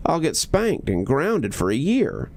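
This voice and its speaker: A man acts out a frightened, obedient little boy in a horror tale. The voice jumps higher and swings around as he anxiously protests. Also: wildly swooping pitch, unhurried, darkish tone, full character voice. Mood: horrified. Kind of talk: horror storybook character voice.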